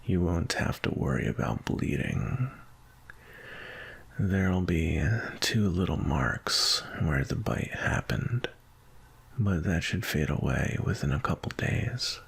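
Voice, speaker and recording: Seductive male vampire, played by a man, ASMR roleplay: deep, very husky half-whisper, tender and unhurried. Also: lively intonation, heavily acted. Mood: soothing, seductive. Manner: slow, soothing, sensual, drawn-out. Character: seductive male vampire, gentle, attentive lover feeding.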